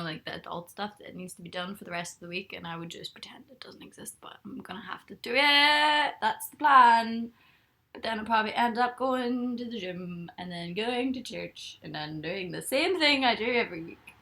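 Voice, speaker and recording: Young woman, bright voice, rambling giggly live-stream chat from her bed. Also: mid-range voice, lively intonation, brisk. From Ireland. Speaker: livestreamer. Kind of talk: casual live-stream chat, reading comments. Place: bedroom, in bed.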